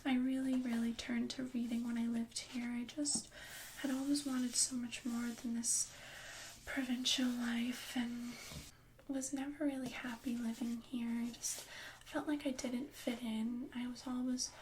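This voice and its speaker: A woman playing a gentle, bookish young fairy-tale heroine in a whispered ASMR roleplay, speaking in a soft unvoiced whisper with a chatty, reassuring manner. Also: on the high side, flat delivery, measured pace, slight background noise, lightly acted, low-quality recording. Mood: friendly.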